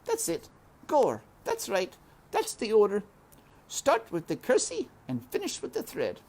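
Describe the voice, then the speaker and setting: Storybook serving girl, played by a woman reader: earnest, dim, rustic, shouty, pitched way up with big swoops. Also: wildly swooping pitch, a little slow, exaggerated voice. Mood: earnest muttering. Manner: shouty, rustic uneducated speech. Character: slow-witted, hard-of-hearing serving girl, earnest and muttering.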